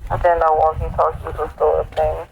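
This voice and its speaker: A man chatting casually in brief, low-key replies. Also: monotone, brisk, vocal fry, lo-fi sound, slight background noise. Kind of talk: casual chat over walkie-talkie.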